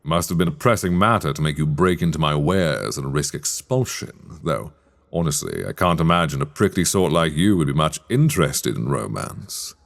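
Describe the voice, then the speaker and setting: A man acting a sly, teasing master of a magic academy in a dark fantasy ASMR roleplay, speaking in measured, wry, formal phrases. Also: a bass voice, expressive, creaky, exaggerated voice. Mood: puzzled, amused.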